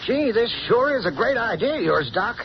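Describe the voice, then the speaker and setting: A man's voice: extremely high pitch, big pitch swings, moderate pace, exaggerated voice. An actor playing an eager, talkative male gangster henchman with slangy speech in a 1930s radio drama, on a muffled, hissy broadcast.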